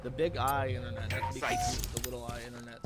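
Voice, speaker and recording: A man gives loose, rambling commentary as a streamer, sarcastic and prone to swearing. His delivery halts and picks up again at an average male pitch. Loud video game music and gunfire play behind him. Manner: profane, sarcastic, halting. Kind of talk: casual rambling commentary over gameplay. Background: video game music, gunfire and vehicles.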